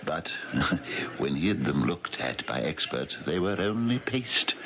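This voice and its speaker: Very deep-voiced, hesitant country parson. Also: male voice, very animated, unhurried, full character voice. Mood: modest, hesitant. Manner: hesitant, stammering, fussy. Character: humble, flustered country parson, mild and genial. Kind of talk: 1970s radio drama acting. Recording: dull, noisy 1970s radio recording.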